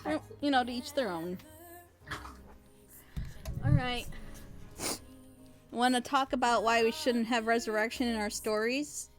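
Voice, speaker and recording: A woman's voice: on the high side, measured pace, long pauses. A woman giving casual, opinionated and emphatic reaction commentary. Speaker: reaction video host, critic. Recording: loud music behind the voice. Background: loud music.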